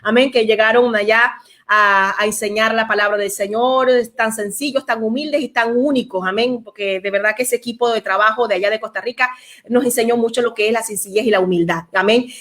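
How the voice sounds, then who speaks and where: A woman's voice: a little high, steady tone, fairly quick. A woman from Venezuela hosting a church talk program, peppering her speech with amens in a preacherly way. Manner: warm, frequent amens, preacherly. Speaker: program host.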